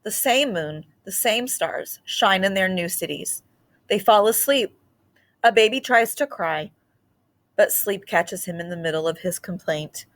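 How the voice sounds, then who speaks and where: A woman storyteller reading a picture book aloud expressively, in short deliberate phrases with dramatic pauses and the odd aside. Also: mid-range voice, lively intonation, a bit bright.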